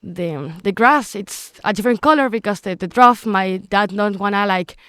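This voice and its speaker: A woman's voice: medium pitch, moderate pace, fairly bright tone, dry recording, clean studio sound. A woman, speaking English as an as an interview guest, earnest and sometimes searching for words. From Chile. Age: teens. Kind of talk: casual interview.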